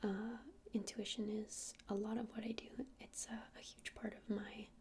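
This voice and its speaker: A woman's voice: medium pitch, little pitch movement, moderate pace, natural voice. Woman as a whispering, friendly witch shopkeeper. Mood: gentle, helpful. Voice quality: soft breathy whisper. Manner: hesitant, informal explaining. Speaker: ASMR roleplayer. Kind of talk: whispered ASMR roleplay.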